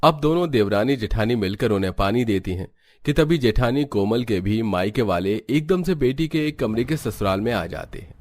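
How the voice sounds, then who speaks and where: A man's voice: lowish voice, animated, moderate pace, no room sound. A man reading scripted narration for a family story.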